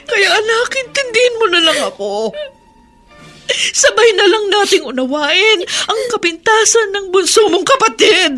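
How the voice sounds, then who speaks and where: A woman's voice: very high-pitched, very animated, measured pace, pushed and bright, soft background music, heavily acted. A woman acting an anguished, pleading mother in an emphatic, melodramatic radio drama. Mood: tearful pleading. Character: anguished mother confessing a long-hidden family secret.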